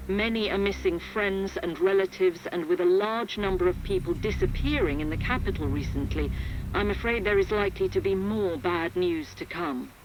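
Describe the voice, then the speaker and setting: A grave, composed woman war correspondent reports over a radio link with clear background noise. Her delivery is sober, measured and articulate, in the manner of scripted radio news. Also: mid-range voice, highs cut off. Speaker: field reporter. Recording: noisy radio field report.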